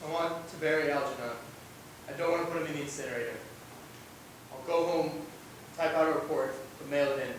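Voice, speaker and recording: A man's voice: fairly quick, pauses often, close to an everyday voice. Young man playing an anguished genius, echoey hall. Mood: somber. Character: anguished, bitter man made a genius by experiment. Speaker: student actor. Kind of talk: amateur stage play acting. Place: indoor theatre run-through without audience. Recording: echoey hall, distant mic, rumbling noise.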